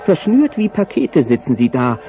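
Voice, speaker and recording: A man's voice: medium pitch, big pitch swings, normal speed. A man narrating a children's audio drama in a dramatic, playful storytelling manner. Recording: dull cassette sound, loud music behind.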